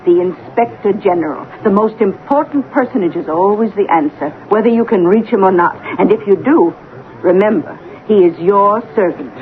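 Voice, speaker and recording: An actress playing a shrewd, motherly woman who gives brisk, knowing advice in a 1970s radio drama. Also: mid-range voice, natural voice. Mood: knowing, insistent. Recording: tinny, faintly noisy old radio broadcast.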